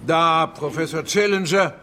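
An actor playing a dignified male institute director with a formal, deliberate manner in a radio drama. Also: a little high, sharp tone. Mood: measured. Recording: faint room ambience noise.

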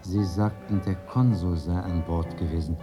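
A male actor as a cultivated medical writer in a radio play. His voice sits on the low side, conversational and articulate, always inquiring, with music clearly underneath. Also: fairly even intonation, moderate pace, lightly acted. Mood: reflective. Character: educated medical author, curious, questioning old school friend. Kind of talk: 1980s German radio play dialogue.

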